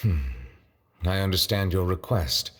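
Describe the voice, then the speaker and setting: A man playing a dignified, reserved high official in a video game, speaking with deliberate, cautious and very formal courtesy. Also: steady tone, a bit bright. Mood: reserved, skeptical. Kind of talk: scripted video game voice acting. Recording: long reverb.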